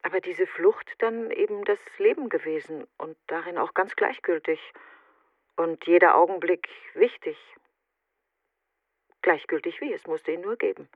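A woman's voice: on the low side, moderate pace, no room sound. An actress playing a grieving, self-doubting woman in a literary radio monologue, in short introspective fragments through a narrow filter. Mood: reflective, resigned. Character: grieving woman afraid of not being enough. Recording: thin, phone-like narrow band, very dry.

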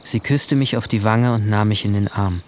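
A man's voice: fairly low pitch, fairly even intonation, moderate pace, close to an everyday voice. A young man playing a troubled teenage boy who narrates a radio drama in the first person, sombre and restrained. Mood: melancholy. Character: troubled teenage boy recounting his guilt.

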